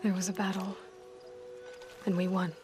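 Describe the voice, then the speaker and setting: An actress playing a grieving, resolute young outcast hunter in a video game, in a soft, breathy voice with halting, intimate phrasing. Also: female voice, medium pitch, little pitch movement, lightly acted. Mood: grim, determined. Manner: halting, hushed, speaking in short reflective phrases. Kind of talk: naturalistic video game acting, intimate monologue. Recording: music behind the voice. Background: game music.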